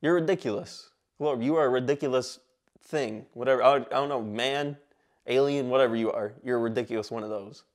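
A man in his twenties from Michigan hosting a comedy talk show sketch in a small studio, irreverent and excitable, swearing a lot. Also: medium pitch, a little fast. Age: 20s. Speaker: host. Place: small indoor studio set.

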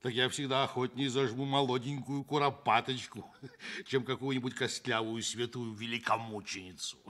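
An actor playing a jovial, bawdy forty-year-old train engineer in a radio play, teasing and coarse. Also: male voice, mid-range voice, fairly even intonation, moderate pace, a bit bright, lightly acted. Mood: boisterous, teasing. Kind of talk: Soviet radio play acting.

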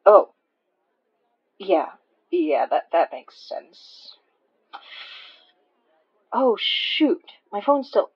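Flustered young woman for podcast drama, played by a woman: hesitant, stammering, lively swooping pitch, slightly dull recording. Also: extremely slow, long pauses, slightly rough, exaggerated voice. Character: awkward, flustered, easily startled young woman. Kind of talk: naturalistic audio drama dialogue.

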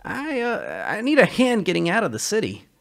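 Man putting on a high, nervous smuggler. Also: huge pitch swings, moderate pace, gravelly, fairly bright tone, heavily acted. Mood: nervous, pleading. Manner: hesitant, stammering, pleading. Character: nervous, defensive, flippant male smuggler pleading for help.

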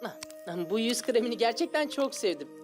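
A man's voice: very high-pitched, sing-song, moderate pace. An actor voicing a vain, showy young male magician in a cartoon. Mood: pleased. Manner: theatrical, showy. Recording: dubbed cartoon with music.

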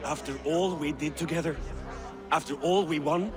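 A man's voice: fairly high pitch, moderate pace, music in the background, lightly acted. An actor playing a loyal Viking warrior in a video game, speaking with earnest weight to his jarl. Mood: indignant. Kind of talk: dramatic video game cutscene acting.

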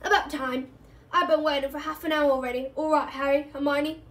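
A woman acts out a gruff gamekeeper, a blunt storybook man with a protective streak. He growls his rustic phrases loudly and firmly. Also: high-pitched, fairly even intonation, brisk, pitched above the performer's own voice, natural voice. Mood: brusque. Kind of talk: storybook character reading.

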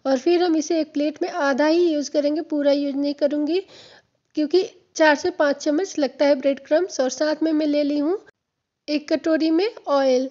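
A woman with a high-pitched, mellow voice talks viewers through a home recipe, patiently repeating each step. Her throat sounds slightly hoarse. The audio is dull and lo-fi, as if from a phone held over a kitchen counter. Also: fairly even intonation, normal speed. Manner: friendly, instructive, repeats steps. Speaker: home cooking channel host. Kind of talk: step-by-step cooking tutorial.

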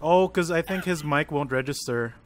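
A man chatting casually while playing an online shooter, excited and swearing as he calls out his plays. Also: a little high, normal speed, crowd noise. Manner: loud, excited, swearing play-by-play. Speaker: gamer. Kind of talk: casual gaming chat.

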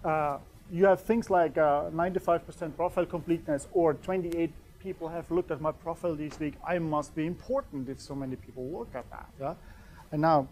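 A man's voice: fairly high pitch, a little fast, a little mellow, a little hiss or hum, low-quality recording. A man in his thirties from Austria with a German accent, a native German speaker, giving a conversational lecture in a small room and often checking in with "yeah?". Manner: conversational, keeps asking "yeah?"; pacing and gesturing while talking. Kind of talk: conference lecture.

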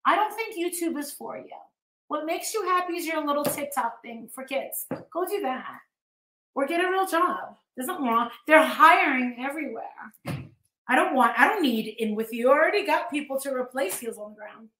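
High, bright, blunt American woman. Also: lively intonation, moderate pace, roomy sound, low-quality recording. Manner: blunt, scornful, sweary. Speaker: YouTube host. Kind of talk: casual ranting livestream commentary. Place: living room at home, indoors.

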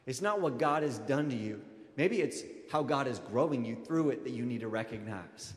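A man in his thirties preaching a conversational sermon as a pastor, informal and animated, addressing his congregation directly into a handheld microphone. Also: medium pitch, normal speed. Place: large auditorium stage, indoors. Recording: echoey hall with long reverb.

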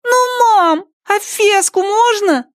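A woman's voice: extremely high pitch, slow pace, no echo, clean studio sound, voice pushed up. A woman voicing a small eager girl who pleads with her mother in a whiny, wheedling way. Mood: pleading.

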